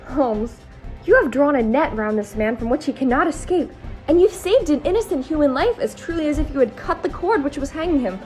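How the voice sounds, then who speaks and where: Earnest, inquisitive companion-narrator played by a woman, highish voice, literary audio drama delivery, faint music underneath. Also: expressive, brisk, darkish tone. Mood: curious, admiring. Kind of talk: amateur audio drama acting and scripted narration.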